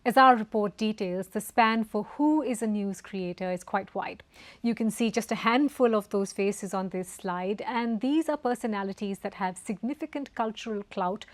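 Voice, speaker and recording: Woman hosting a formal online event. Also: medium pitch. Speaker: event host, institute director. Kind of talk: scripted event introduction.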